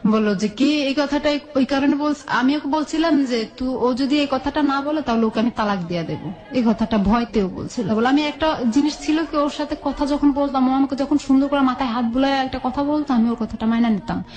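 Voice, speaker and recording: A woman's voice: high-pitched, a little fast, hardly any pauses, darkish tone. A woman with a Bangladeshi accent telling her own life story in a colloquial, conversational way, often quoting dialogue back and forth.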